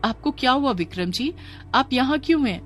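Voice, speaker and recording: A woman voicing a brave, compassionate girl in a children's cartoon story, earnest and gentle. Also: sits high, lively intonation, moderate pace, soft background music, voice pushed up. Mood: curious, concerned.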